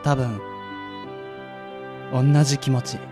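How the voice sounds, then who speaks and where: A man playing a gentle, earnest young man who is shyly in love, in a radio drama. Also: mid-range voice, steady tone, takes it slowly, music in the background, natural voice. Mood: tender.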